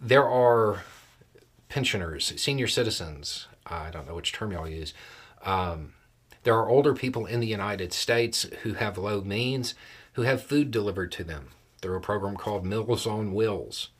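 Low-pitched man, folksy news commentator. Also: measured pace, slightly rough. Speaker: host of a political commentary show. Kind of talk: casual explanatory news commentary.

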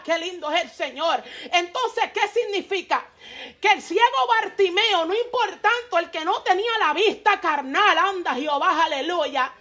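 High-voiced fervent woman preaching. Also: a bit bright. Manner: fervent, peppers speech with "vive Dios, aleluya" and tongues; rises in pitch when stressing points. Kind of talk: Pentecostal-style sermon.

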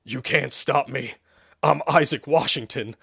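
Man doing a loud, bombastic male demon. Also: mid-range voice, unhurried, gravelly, slightly rough, no room sound, studio quality, full character voice. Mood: defiant. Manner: roaring, bombastic. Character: loud crude male demon spirit, needy and wild.

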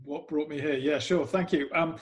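An English man chats easily as a podcast guest, filling his sentences with "um"s and light self-deprecation. His voice sits a little higher than most men's. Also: fairly even intonation, moderate pace, slightly rough. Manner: self-deprecating, chatty with frequent "um"s. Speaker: guest, club PR executive. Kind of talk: casual interview chat.

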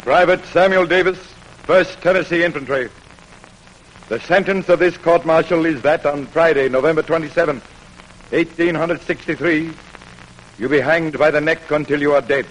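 Male actor as military judge, raised pitch, solemn, formal, declamatory, vintage radio, light hiss. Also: fairly even intonation, moderate pace, natural voice. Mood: stern, solemn. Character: stern military court officer pronouncing a death sentence. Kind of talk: old-time radio drama acting. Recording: noisy vintage radio drama recording.

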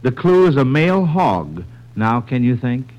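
A man's voice: medium pitch, very animated, measured pace, a little mellow, highs cut off. An American man hosting a 1940s radio quiz for a panel of children, with a folksy, encouraging manner. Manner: encouraging, folksy, repeats and affirms answers.

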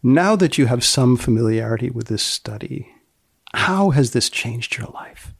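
A male podcast host with a creaky, medium-pitched voice in a very dry recording. He is deadpan, alternating scripted narration with short, probing questions. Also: measured pace, no room sound.

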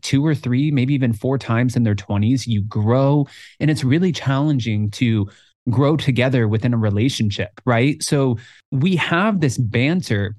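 A man in his twenties co-hosting a podcast in casual chat, talking in an animated way and jumping higher when he quotes himself. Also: no echo, studio quality. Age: 20s. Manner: animated, jumps up in pitch when quoting himself. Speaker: podcast co-host.

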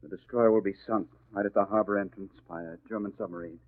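An actor playing a nervous, cornered crooked lawyer in a 1940s radio drama, defensive and jittery. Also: male voice, lowish voice, steady tone, fast talker, natural voice. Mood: nervous, evasive. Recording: muffled, narrow-band old radio broadcast.

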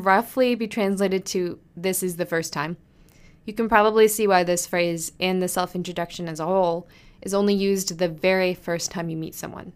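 A woman hosting a podcast lesson on Japanese business culture. She speaks in a clear, friendly teaching manner and says the Japanese phrases slowly and carefully. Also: on the low side.

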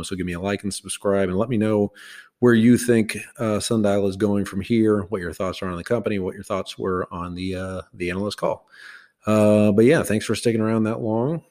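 A man's voice: lowish voice, darkish tone. A man hosting his own podcast, talking loosely through stock news with many fillers and restarts. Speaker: podcast host. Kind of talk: casual stock commentary.

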